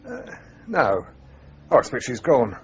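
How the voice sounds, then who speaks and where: An actor playing a homely sergeant who locks up offices at night in a radio drama, with a colloquial, grumbling way of talking. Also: male voice, medium pitch, huge pitch swings, unhurried, muffled recording, slight background noise. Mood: chatty, grumbling.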